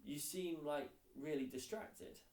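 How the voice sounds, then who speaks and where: An awkward schoolboy pal, acted by a man reading a storybook talks haltingly and casually at a lifted pitch. The recording is echoey and a little distant. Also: a little mellow. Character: awkward, easily embarrassed schoolboy, loyal best friend. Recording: roomy echo, distant mic.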